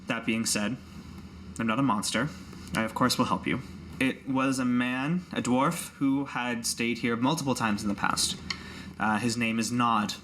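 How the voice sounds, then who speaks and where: A man's voice: mid-range voice, a bit bright, a little hiss or hum, close to an everyday voice. A man voicing a stern, formal woman innkeeper in a fantasy tabletop game, speaking in prim, wordy, indignant phrases. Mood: formal, reproachful.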